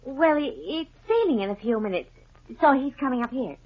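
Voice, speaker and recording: A plucky young sidekick from a radio detective serial. Her high voice is animated and breezy. The old 1950s recording is dull and muffled. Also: a little fast. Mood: evasive. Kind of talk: 1950s radio drama acting.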